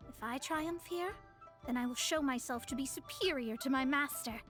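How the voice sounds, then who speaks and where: Woman playing a haughty young female astrologer, high voice, formal lofty delivery, game character, faint music behind. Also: moderate pace, close to an everyday voice. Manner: formal, self-assured, slightly lofty. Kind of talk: dubbed game dialogue.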